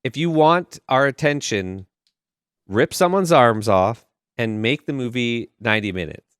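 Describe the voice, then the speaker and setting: A man's voice: mid-range voice, expressive, measured pace, fairly bright tone, clear, resonant tone, dry recording, clean studio sound. A man chatting casually and giving opinions as a podcast co-host. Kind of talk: casual chat.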